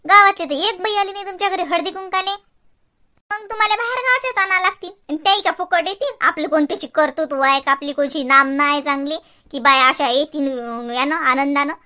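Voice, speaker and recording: Woman voicing a high, sarcastic cartoon village daughter-in-law. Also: fairly quick, sharp tone. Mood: sarcastic, mocking. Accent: Varhadi Marathi from Vidarbha. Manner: mocking, repetitive, gossipy. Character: sarcastic, chatty young village daughter-in-law, busy housewife. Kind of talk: exaggerated cartoon comedy acting.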